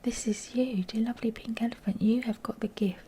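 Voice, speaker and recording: A woman with a croaky, breathy voice, often close to a whisper, giving an intimate, informal tarot reading to viewers with gentle, chatty asides and a slightly low-energy delivery. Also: steady tone, fairly quick, a little hiss or hum. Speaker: tarot card reader and channel host.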